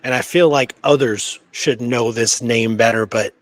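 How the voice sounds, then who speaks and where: A man with a medium-pitched voice chats casually as a podcast co-host. His delivery is conversational and enthusiastic, wandering from one thought to the next. The recording is dry, with very little room sound. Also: fairly even intonation, unhurried, no room sound. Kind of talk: casual chat.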